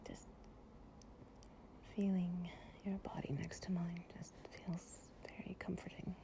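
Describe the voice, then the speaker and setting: A woman speaking softly, often in a breathy whisper, in an intimate, affectionate and soothing improvised girlfriend ASMR roleplay. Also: fairly low pitch, flat delivery, slow pace. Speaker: audio roleplay performer playing an affectionate girlfriend.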